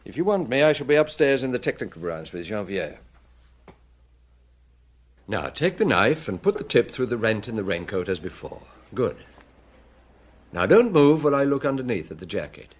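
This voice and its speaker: An actor playing a seasoned, methodical police chief inspector in a radio drama, deliberate and authoritative in his questioning. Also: mid-range voice, very animated, husky. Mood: businesslike.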